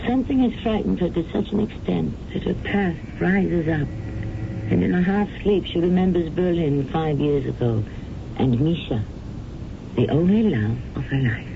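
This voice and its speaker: A man's voice: fairly high pitch, normal speed, creaky, background noise. A man hosting and narrating a radio mystery in a theatrical, portentous manner. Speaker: host and narrator. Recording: tinny old radio broadcast with music.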